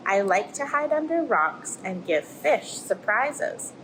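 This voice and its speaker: A friendly, plucky little octopus played by a woman tells a rhyming storybook tale. The delivery is expressive and swings widely in pitch, over clear background noise. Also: slow, rough recording. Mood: anxious, suspenseful. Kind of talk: rhyming picture-book read-aloud. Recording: clear background noise, aquarium room ambience.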